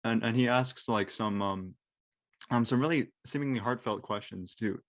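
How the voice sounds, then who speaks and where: Lowish, halting man in a dry space. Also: no room sound. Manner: halting, repeats words and self-corrects. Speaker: voice actor on a live show. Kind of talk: casual chat about his character.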